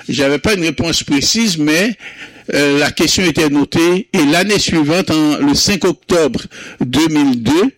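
Man, Creole native, interview guest: unhurried, fairly high voice, halting with fillers and restarts, dry close sound. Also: fairly bright tone, dry recording. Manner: slow, hesitant, many fillers and restarts. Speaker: founder of a community Creole month. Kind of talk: interview recollection.

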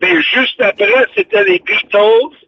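A man's voice: very high-pitched, sing-song, slow pace, creaky, husky, recorded from a distance, dull audio. An older man, past sixty, with a Quebec French accent, chatting on radio in a rambling, exclamatory way. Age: 60s or older. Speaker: radio show host. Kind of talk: casual reminiscing chat on radio.

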